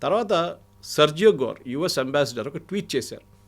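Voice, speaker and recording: A man's voice: a little high, lively intonation, normal speed. A man with an Indian accent giving political analysis and anecdotes in an interview, switching between Telugu and English phrases. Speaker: guest commentator and analyst.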